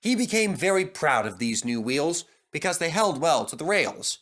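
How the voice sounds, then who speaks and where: A man's voice: on the high side, sing-song, moderate pace, a bit bright. A man reading a children's story as a scripted narrator.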